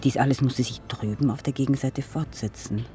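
Man, literary recitation, breathy half-whisper, measured phrasing, slightly distant from the mic, music underneath. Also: mid-range voice, fairly even intonation, mellow. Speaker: reader of poetic prose in an audio piece. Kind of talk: literary recitation in a radio sound composition.

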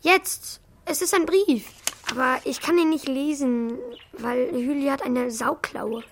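An actor in a children's radio play voicing an excitable, shy young schoolboy with a candid, hesitant manner. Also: high-pitched, a little slow, slight background noise. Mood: eager.

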